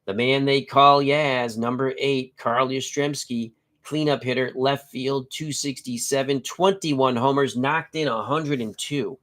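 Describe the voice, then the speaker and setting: Middle-aged male stream host, stuffy and nasal, chatty and jokey, average pitch, reads stats in short bursts. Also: fairly even intonation, a little slow, hardly any pauses, a bit bright. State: congested and nasal from a cold. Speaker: host of a tabletop baseball live stream. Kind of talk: casual live-stream chat and lineup reading.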